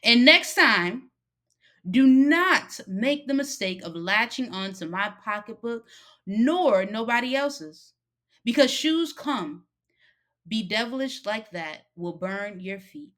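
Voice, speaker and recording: A woman's voice: fairly high pitch, expressive, slow, a bit bright. A woman voicing a stern but caring older woman in a story read-aloud, with a firm, folksy, emphatic way of scolding. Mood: motherly, firm.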